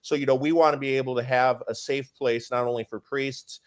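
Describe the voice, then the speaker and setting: Booming-voiced priest, emphatic and indignant. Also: male voice, fairly even intonation, brisk. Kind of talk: casual conversation on a live stream.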